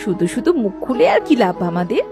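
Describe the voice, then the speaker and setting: A woman's voice: medium pitch, very animated, fast, lightly acted. A woman playing a guarded, nervous village sister-in-law in a cartoon story, speaking in a chatty but evasive way. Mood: anxious, confiding. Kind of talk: dramatized Bengali cartoon story dialogue. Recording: music behind the voice.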